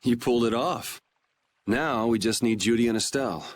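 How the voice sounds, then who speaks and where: A man's voice: medium pitch, moderate pace, a little hiss or hum, natural voice. An actor playing a laid-back, blunt young swordsman hero in a video game, with a casual, dry manner. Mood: casual, determined.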